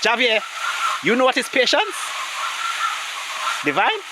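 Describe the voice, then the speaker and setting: A man's voice: very high voice, measured pace. A man with a Caribbean accent chatting casually with children and a co-host, folksy, interjecting and repeating his phrases. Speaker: co-host of a children's Bible story program. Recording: clear steady background hiss.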